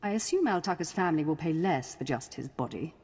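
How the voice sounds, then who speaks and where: Imperious game aristocrat: deep, swooping delivery, cold scorn, husky, long reverb. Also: female voice, animated, a little fast, darkish tone, full character voice. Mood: scornful, bored. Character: haughty, contemptuous noblewoman, cold and imperious. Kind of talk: video game voice acting.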